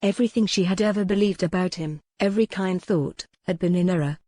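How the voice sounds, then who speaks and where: A woman's voice: on the low side, brisk, dark tone, no room sound, studio quality. A woman reading a historical novel as scripted, dramatic audiobook narration.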